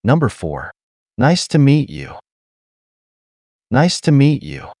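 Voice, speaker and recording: Slow, lively-voiced man reading test items. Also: medium pitch, no room sound, clean studio sound. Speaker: listening-test narrator. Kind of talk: scripted test narration.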